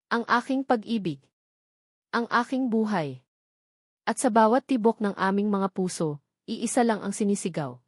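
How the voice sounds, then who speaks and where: A woman's voice: mid-range voice, no echo, studio quality. Woman narrating a heartfelt romance. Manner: soft, heartfelt storytelling. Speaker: story narrator. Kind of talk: scripted first-person romance narration.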